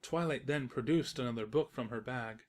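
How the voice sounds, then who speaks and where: A man's voice: mid-range voice, moderate pace, close to an everyday voice. A man playing a smug, teasing narrator, reading a comic fan story as scripted narration. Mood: narrating. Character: smug, teasing unseen male narrator who heckles the heroine.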